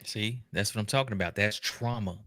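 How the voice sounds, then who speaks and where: Bright-voiced man giving animated commentary. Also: on the low side, a little fast, no room sound, studio quality. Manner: animated, joking, colloquial. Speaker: host reacting to a documentary. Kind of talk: casual unscripted commentary.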